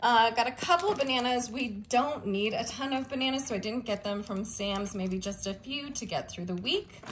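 A woman's voice: a little high, moderate pace. Young woman, casual vlogger chat, chatty and upbeat with a touch of faint room noise. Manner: chatty, upbeat, with fillers and little laughs. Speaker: vlogger showing a grocery haul. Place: indoors at a kitchen table.

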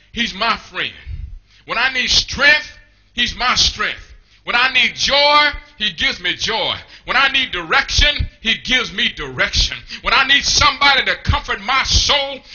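A middle-aged man preaching a fiery revival sermon in a small church, shouting in rhythmic, repeating calls. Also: extremely high pitch, poor audio.

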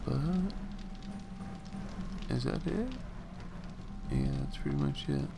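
A young man with a raspy morning voice, casually rambling through gameplay commentary with slang. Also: medium pitch, wildly swooping pitch, slow, noisy background. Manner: slangy, rambling, laid-back. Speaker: gaming channel host.

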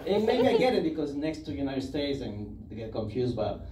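A man in his thirties from Ecuador with a Spanish accent, doing an animated, self-mocking stand-up set on a club stage with a handheld mic. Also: mid-range voice, fairly quick, crowd noise. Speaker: stand-up comedian. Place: comedy club stage, indoors. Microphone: handheld mic, filmed from the audience. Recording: roomy club sound taken from far off, loud ambience.

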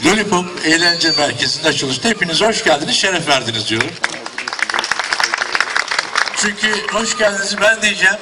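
A man's voice: on the high side, noisy background. A man, a mayor, giving a folksy, joking ceremonial speech outdoors into a handheld mic. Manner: folksy, joking, ends with blessings. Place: outdoors at a shop opening.

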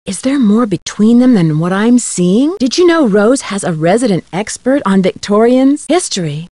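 A woman's voice: mid-range voice, big pitch swings, normal speed, mellow, no echo. An actress playing a curious, polite young female amateur detective in a video game, friendly, inquisitive and articulate.

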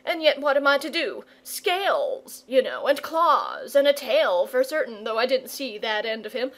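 A woman's voice: high-pitched, big pitch swings, moderate pace, a bit bright, exaggerated voice. A rustic shepherd of a storybook, acted out by a woman. He is an older family man, nervous and easily flustered. He talks in rambling, folksy country dialect. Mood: wary. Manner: folksy dialect speech, plain-spoken.

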